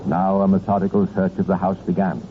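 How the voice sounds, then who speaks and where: A British man narrating a 1950s radio crime drama in a deliberate, dramatic, knowing way. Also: low-pitched, little pitch movement, lightly acted. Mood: measured, wry. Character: measured, knowing male crime-case narrator. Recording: tinny, noisy 1950s radio recording.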